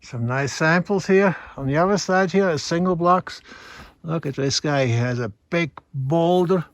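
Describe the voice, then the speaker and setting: A man with a Swiss accent, explaining geology outdoors on a mountain hike in a measured, teaching way. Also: on the high side, no room sound. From Switzerland. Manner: measured explanatory, slightly non-native phrasing. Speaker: hiking geology guide. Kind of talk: outdoor geology field narration.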